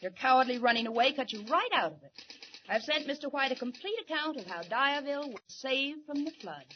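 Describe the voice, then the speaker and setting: An actress playing a brash, competitive young newspaper reporter, brisk and emphatic in 1940s radio serial style. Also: female voice, high-pitched, animated. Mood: smug, teasing. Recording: muffled old radio recording, faint noise.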